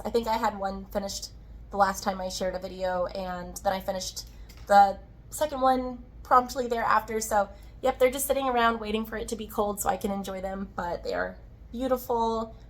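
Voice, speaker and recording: A woman with a slightly hoarse, tired voice hosting a casual, chatty crafting video in a friendly way. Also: medium pitch. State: slightly hoarse, tired-sounding from recovering from illness. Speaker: podcast host, yarn dyer and shop owner.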